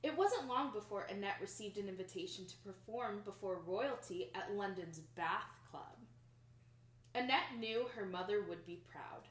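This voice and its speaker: A young adult woman reading a picture book aloud to children in an expressive, emphatic storytelling manner. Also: medium pitch, moderate pace. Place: small plain indoor room. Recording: roomy indoor echo.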